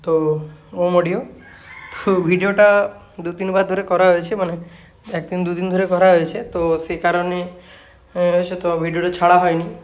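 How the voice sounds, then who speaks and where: A man's voice: high voice, flat delivery, moderate pace, long pauses. A man with a West Bengal Bengali accent presenting products in a casual vlog, repeating himself like a salesman and mixing in English words. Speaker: speaker repair shop owner and product presenter.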